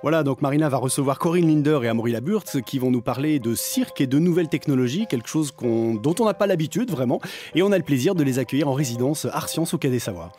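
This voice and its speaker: A man's voice: mid-range voice, animated, quick pace, dark tone, faint music behind. A man co-hosting a podcast, previewing the program enthusiastically. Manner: enthusiastic, promotional. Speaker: podcast co-host. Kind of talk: scripted show preview chat.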